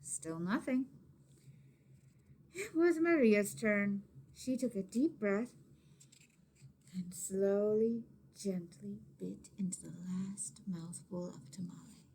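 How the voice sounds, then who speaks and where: A woman reading a story aloud to children expressively, with dramatic emphasis and hushed asides to her listeners. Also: mid-range voice, slow, long pauses, breathy edge. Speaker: teacher or reader of a children's story.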